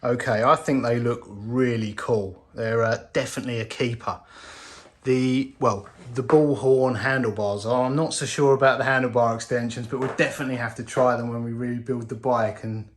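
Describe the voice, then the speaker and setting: A man's voice: mid-range voice, steady tone, normal speed. A man presenting a casual hands-on bike review, thinking aloud in an informal way. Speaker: bike restorer presenting his video. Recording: roomy echo, voice drifting from mic.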